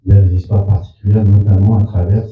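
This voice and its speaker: A film director, a man, reflecting slowly in an interview with many pauses and repeated words. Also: lowish voice, flat delivery. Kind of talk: press interview. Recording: tinny echoey room from far off.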